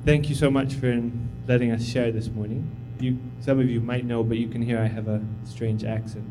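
A man's voice: medium pitch, flat delivery, normal speed, over music, low-quality recording. A man in his thirties who grew up in Tanzania, giving an informal, humble sermon as a visiting missionary, encouraging and full of fillers. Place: church sanctuary.

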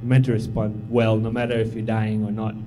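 A man's voice: lowish voice, completely flat delivery, a little fast, warm tone, soft background music, low-quality recording. A man in his thirties who grew up in Tanzania, giving an informal, humble sermon as a visiting missionary, encouraging and full of fillers. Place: church sanctuary.

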